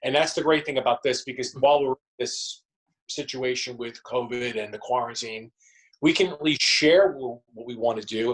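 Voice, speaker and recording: A man's voice: medium pitch, fairly bright tone, rough recording. A man answering conversationally as an interview guest, feeling his way through phrases and circling back over them. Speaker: actor and theatre company member, interview guest.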